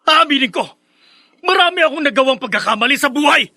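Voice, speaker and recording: Forceful, high-pitched indignant Filipino brother. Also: male voice, expressive, fast talker, no echo, exaggerated voice. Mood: furious, anguished. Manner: emphatic, melodramatic delivery. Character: indignant elder brother defending a slandered woman. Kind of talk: Filipino radio drama acting.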